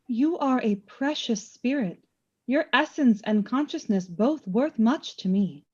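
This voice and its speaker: Death as a female spirit in a radio drama. She is calm, knowing and taunting, with solemn, measured, theatrical delivery. The sound is slightly dull. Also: on the high side, husky. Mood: admiring. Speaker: voice actor. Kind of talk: radio play acting.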